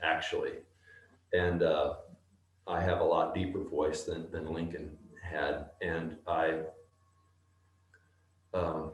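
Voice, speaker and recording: A male actor with a deep bass voice, answering interview questions slowly and thoughtfully, with many pauses and restarts. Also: flat delivery, echoey room. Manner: slow, thoughtful, halting.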